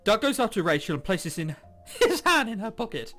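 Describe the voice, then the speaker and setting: Lively high-voiced man doing game commentary. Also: brisk. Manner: incredulous, jokey exclamations. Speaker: game streamer host. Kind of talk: commentary while reading a visual novel aloud. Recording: music playing behind. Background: game background music.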